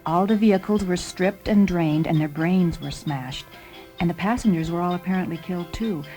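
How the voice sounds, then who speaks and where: A calm and devoted intelligent car with a low female voice acted by a woman. She speaks precisely and evenly, obedient and matter-of-fact, in a radio drama with music underneath. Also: normal speed, lightly acted.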